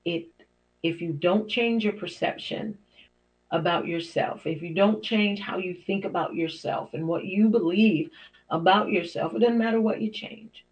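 A middle-aged woman hosting her own show, talking in an encouraging, preacherly way with small laughs. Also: measured pace. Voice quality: deep for a woman. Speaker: host. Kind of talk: inspirational talk show monologue. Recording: dull lo-fi podcast audio.

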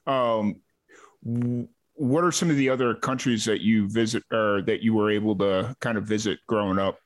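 A man's voice: fairly low pitch, moderate pace. Guy hosting a podcast, easygoing chatty talk w lots of fillers. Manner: relaxed, chatty, with filler words and restarts. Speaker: podcast host. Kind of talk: casual interview chat.

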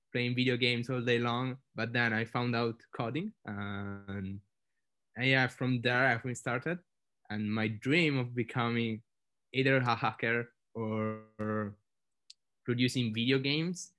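A man's voice: medium pitch, a bit bright. A young man co-hosting a casual podcast, easygoing and playful. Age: young adult. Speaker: startup co-founder, podcast co-host.

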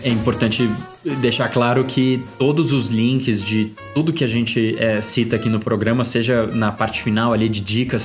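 Podcast co-host: São Paulo-accented man, lower voice, chatty and halting, soft music under. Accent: São Paulo Portuguese. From Brazil. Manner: hesitant, self-deprecating, many restarts and fillers. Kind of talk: casual chat.

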